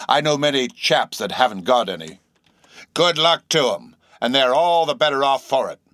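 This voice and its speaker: A man's voice: medium pitch, animated, normal speed, sharp tone, no room sound, pitched above the performer's own voice. A man voicing a shabby, insanely earnest old sailor in an audiobook, speaking abruptly with nervous emphasis and derisive, cryptic questions. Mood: insistent, mocking. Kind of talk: audiobook character acting.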